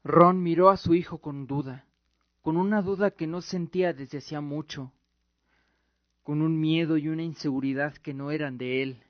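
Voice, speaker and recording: A man's voice: on the high side, steady tone, normal speed, no room sound. A man giving dramatic scripted narration as the narrator of an audio drama. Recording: dull lo-fi recording with music. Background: music.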